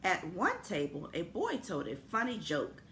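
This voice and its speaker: A woman reading a children's story aloud expressively, in the manner of a school principal addressing young students. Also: lowish voice. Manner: animated, unhurried storytelling. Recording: echoey room with noticeable background noise.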